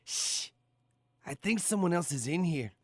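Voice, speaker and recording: Guy doing a cocky sleazy guard, flippant, higher pitched, dry close sound. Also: expressive, measured pace, husky, no room sound. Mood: suspicious. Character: sleazy, cocky slacker male security guard. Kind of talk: audio drama dialogue.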